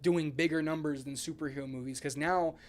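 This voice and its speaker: A man hosting a casual podcast, talking excitably in slang, swearing freely and repeating himself for emphasis. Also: fairly high pitch, brisk. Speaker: podcast host.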